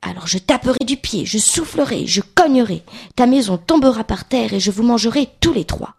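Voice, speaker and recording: Woman acting a husky, menacing storybook wolf. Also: fairly high pitch, animated, a little fast, darkish tone, full character voice. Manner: threatening, emphatic chanting demands. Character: big bad wolf, menacing, bullying, hungry male. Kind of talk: children's storybook reading.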